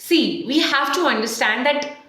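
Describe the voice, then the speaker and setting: A woman in her thirties with an Indian accent, coaching viewers on camera in an emphatic, animated way with questions to the viewer. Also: high-pitched, moderate pace. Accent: Indian English. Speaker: communication coach. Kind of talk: to-camera tutorial. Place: small tiled room, indoors, at a desk. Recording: echoey small room.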